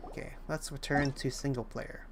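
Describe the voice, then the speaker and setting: A woman's voice: extremely low pitch, steady tone, moderate pace, creaky, noisy background. A young woman livestreaming a game, chatting casually and thinking aloud with some hesitation. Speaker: game streamer. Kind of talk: casual livestream chat while playing. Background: game music.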